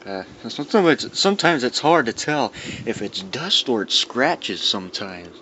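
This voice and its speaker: A man's voice: mid-range voice, big pitch swings, unhurried, bright, forceful tone. A man giving a casual, unscripted show-and-tell as a collector, rambling and musing to himself as he handles things. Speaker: record collector presenting his albums. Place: indoors in a dimly lit room. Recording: dull lo-fi sound with clear background noise.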